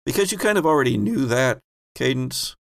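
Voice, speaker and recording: A man's voice: medium pitch, very animated, a little fast, vocal fry. A man speaking casually as game master, guiding players through their choices in a tabletop game.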